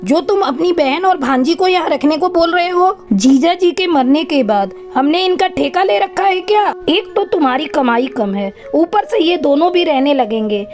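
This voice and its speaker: A woman plays a spiteful cartoon crow wife with a high voice. She is bossy and sharp-tongued, nagging in a scolding manner over music. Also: lively intonation, moderate pace, few pauses. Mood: angry scolding. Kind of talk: Hindi cartoon moral story acting.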